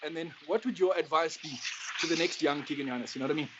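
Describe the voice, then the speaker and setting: A man's voice: a little high, fast. South African man, dull noisy recording. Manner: effusive, matey, calls guest bro. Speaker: interviewer and football skills coach. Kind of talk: casual encouraging interview chat. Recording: dull lo-fi sound with clear noise.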